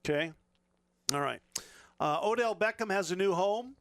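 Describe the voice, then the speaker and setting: A man hosting a sports talk radio show, briskly running a quick question segment. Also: high voice, expressive, a bit bright, clean tone, no echo.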